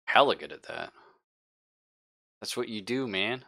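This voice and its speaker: A man's voice: lowish voice, expressive, measured pace, gravelly, bright, forceful tone. A man running a tabletop roleplaying game, narrating off the cuff in a casual, slangy way with some swearing. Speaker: game master.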